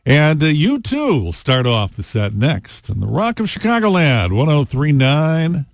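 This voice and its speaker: A man's voice: a little high, big pitch swings, unhurried, vocal fry, no echo, studio quality. An American man hosting a rock radio show, talking in casual, conversational DJ patter with playful asides. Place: radio studio. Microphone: close studio broadcast mic.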